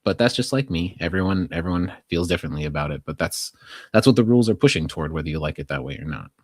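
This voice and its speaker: A man's voice: low-pitched, expressive, fast talker, a little mellow, dry recording, clean studio sound. An American man co-hosting a podcast, talking through local news in a casual, conversational, hedging way with plenty of "like". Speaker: podcast co-host.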